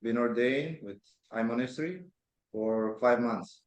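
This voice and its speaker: A man's voice: medium pitch, a little slow. A man from Romania, an ordained monk, introducing himself and spelling his name carefully.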